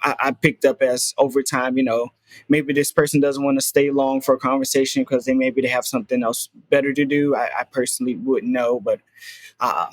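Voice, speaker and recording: A man's voice: mid-range voice, fairly even intonation, a little fast, no echo. A man in his twenties, a podcast guest talking earnestly and reflectively, with many fillers and restarts. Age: 20s. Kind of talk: casual interview conversation.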